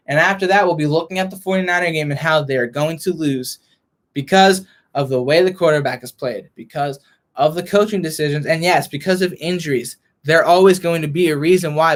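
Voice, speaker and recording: A young man ranting as a frustrated sports fan vlogger, hoarse and losing his voice, reading and answering chat. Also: fairly high pitch. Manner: frustrated, heated rant.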